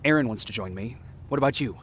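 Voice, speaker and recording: An actor playing a calm, courteous, reserved young resistance soldier in a video game. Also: male voice, mid-range voice, fast talker, slightly rough. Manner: reserved, terse. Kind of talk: dramatic video game acting. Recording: distant voice, background noise.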